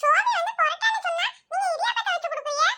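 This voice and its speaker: A woman's voice: very high voice, lively intonation, racing, pushed and bright, full character voice. A woman voicing a sulky young girl who feels left out, whining and pleading. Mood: whiny. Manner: whiny, pleading.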